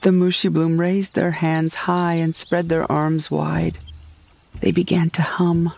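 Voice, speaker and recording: A woman narrating a scripted first-person comedic sci-fi audio drama in a deadpan storytelling manner. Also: low-pitched, slow, gravelly, a little hiss or hum. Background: music.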